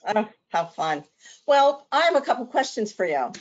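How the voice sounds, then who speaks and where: A woman's voice: fairly high pitch, very animated, moderate pace. Woman trainer hosting a webinar, upbeat and chatty, somewhat dull call sound. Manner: upbeat, conversational with fillers. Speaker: sales and product trainer. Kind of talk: webinar presentation.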